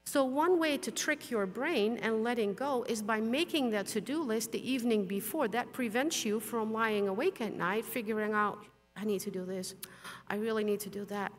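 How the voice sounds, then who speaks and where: A woman's voice: a little high, normal speed, soft background music. A middle-aged woman whose first language is Dutch, giving a frank, plain-spoken conference talk with some mild swearing. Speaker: conference speaker. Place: large conference hall. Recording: long hall reverb.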